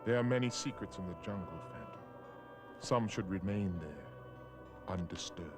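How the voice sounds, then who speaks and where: Mysterious jungle guardian male actor, animated series: very deep, solemn, wise, scored underneath. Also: moderate pace, music in the background, lightly acted. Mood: grave, warning. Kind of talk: animated action series dialogue.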